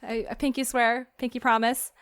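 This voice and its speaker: A woman's voice: fairly high pitch, little pitch movement, normal speed, fairly bright tone, clear, resonant tone. A woman hosting a podcast in a casual, easygoing chat. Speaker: podcast host.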